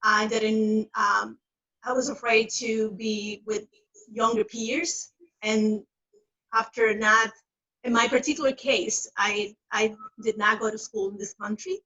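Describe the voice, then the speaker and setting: A woman's voice: on the high side, steady tone, takes it slowly, pushed and bright. A woman in her fifties from the Dominican Republic, Spanish her first language, speaking earnestly and searching for words. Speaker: graduate. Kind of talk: video call conversation. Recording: dull lo-fi call audio.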